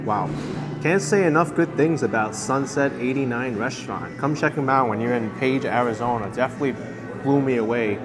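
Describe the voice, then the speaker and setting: A man of mid pitch hosts a casual food vlog from inside a restaurant, narrating as he tries each dish. He talks with easy enthusiasm and savors bites with soft murmurs, while clear music plays underneath. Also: a little fast. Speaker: food vlogger. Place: roadside restaurant in a hot desert town.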